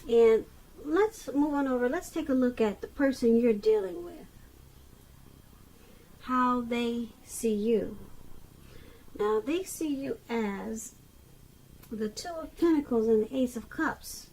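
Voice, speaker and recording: A woman giving an unscripted tarot reading to the viewer, halting as she goes, pausing mid-sentence and repeating phrases. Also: on the high side, darkish tone, rough recording. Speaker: tarot reader.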